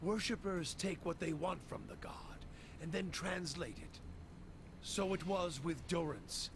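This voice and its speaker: An actor playing a zealous, bitter wandering fire priest in a video game, preaching in a cryptic, ominous, gravelly manner. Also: male voice, a little high, animated, a little slow, background noise. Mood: brooding, preachy. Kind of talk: video game voice acting, grandiose monologue.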